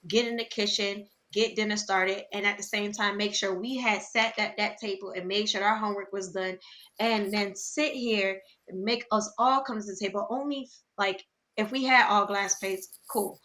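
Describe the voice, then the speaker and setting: A woman co-hosts a casual podcast chat. Her voice is bright and forward, and she tells personal stories with animated asides and quick laughs. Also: medium pitch. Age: 20s. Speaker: podcast co-host.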